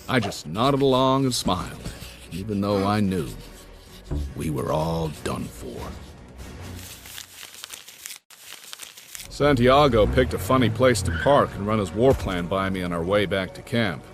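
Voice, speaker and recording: An actor playing a gruff, folksy middle-aged survivor who spins tall tales in a wry, rambling, colloquial way for a video game. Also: male voice, lowish voice. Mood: reflective storytelling. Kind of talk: video game story narration in character. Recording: music behind the voice. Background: prominent music.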